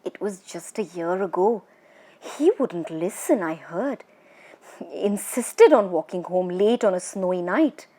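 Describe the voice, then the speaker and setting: A woman's voice: on the low side, lively intonation, a little slow, dark tone. Woman voicing a nervous, superstitious village farmer. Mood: fearful, ominous. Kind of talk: children's storybook character voice.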